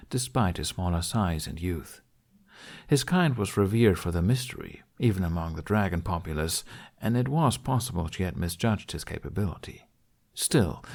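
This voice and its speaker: A man's voice: sits low, a little mellow. A man reading scripted fantasy fiction as an audiobook narrator.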